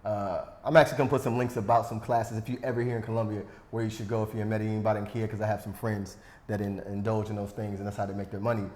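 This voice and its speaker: A man hosting a casual podcast interview, talking in an easygoing way and tossing in self-deprecating jokes. His voice sits low and bounces around a noticeably echoey room. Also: flat delivery, very fast, hardly any pauses, a little mellow. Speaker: podcast host.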